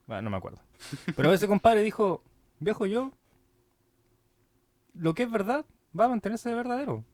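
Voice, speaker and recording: A man's voice: mid-range voice, dry recording. A young man with a Chilean accent co-hosting a podcast, talking casually and loosely with slang and lots of fillers. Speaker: podcast co-host.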